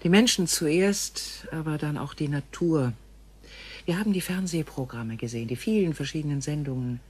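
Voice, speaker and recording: A woman playing a grave, gentle female alien visitor in a science fiction radio play, speaking in formal, measured phrases. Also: sits low, breathy edge. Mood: sorrowful, solemn.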